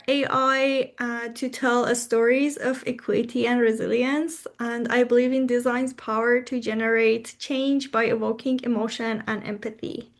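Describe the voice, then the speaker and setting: High-voiced woman from Iran. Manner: measured, with hesitant uhs. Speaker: assistant professor of design. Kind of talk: workshop presentation. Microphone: video call.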